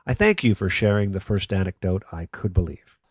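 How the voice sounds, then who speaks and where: A man plays a jaded conspiracy call-in host in a radio play. His voice is on the low side, sardonic and dryly sarcastic, recorded close with no room sound. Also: a little fast, no room sound. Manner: dry, sarcastic. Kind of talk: radio play acting as talk radio.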